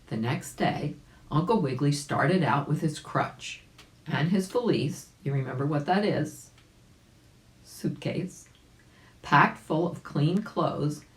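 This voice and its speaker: Female children's story reader, low register, very lively intonation, chatty with listeners, roomy recording. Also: slow pace. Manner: chatty, addresses listeners directly. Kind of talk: storybook read-aloud with chatty asides. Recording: roomy, echoing home recording.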